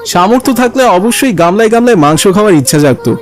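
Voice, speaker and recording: A man's voice: high voice, expressive, quick pace. A man voicing a talkative adult neighbour in a children's cartoon. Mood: mocking, gloating. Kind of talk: animated cartoon dialogue.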